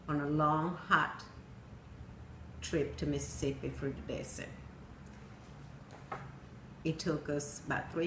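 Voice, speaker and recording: A middle-aged woman from Sweden with a Swedish accent, telling a personal story in plain storytelling with pauses and some non-native grammar. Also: sits low. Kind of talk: personal narrative speech. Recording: echoey room with faint noise.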